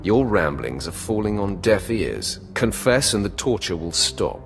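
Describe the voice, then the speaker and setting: An actor playing a cold, commanding young dark-side acolyte in a video game, clipped and dryly threatening. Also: male voice, lowish voice, big pitch swings, measured pace, vocal fry, faint music behind, exaggerated voice. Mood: coldly ruthless. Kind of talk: video game character acting.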